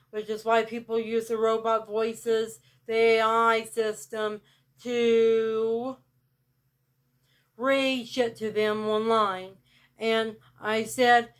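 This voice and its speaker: Flat-voiced country woman vlogger. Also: fairly high pitch, clear, resonant tone. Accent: rural American country. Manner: flat, deliberately robot-like delivery. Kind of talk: casual direct-to-camera monologue.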